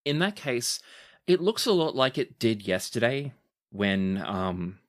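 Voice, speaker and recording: A man narrating as game master of a tabletop game, relaxed and chatty, correcting himself as he goes. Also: medium pitch, measured pace.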